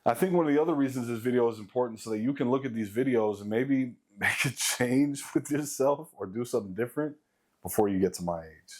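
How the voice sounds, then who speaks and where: A man in his late thirties with a deep voice talks straight to his audience, venting in a blunt, swear-heavy way. He hammers home his points by repeating them, his pitch sitting in the middle range for a man. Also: a little fast, darkish tone. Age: late 30s. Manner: blunt, profane, emphatic repetition. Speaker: vlogger. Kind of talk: casual motivational rant to camera.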